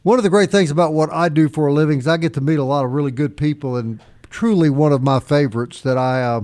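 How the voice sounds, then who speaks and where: Higher-pitched man hosting radio. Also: fast, darkish tone. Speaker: sports radio host. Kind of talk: casual radio talk with ad reads.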